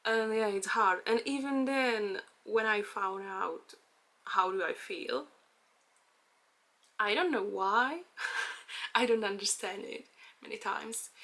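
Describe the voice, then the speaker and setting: A woman's voice: on the high side, bright, forceful tone. A young woman from the Czech Republic with a Czech accent, speaking English in a hesitant personal vlog, with many fillers and small laughs. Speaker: vlogger. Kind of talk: casual personal chat.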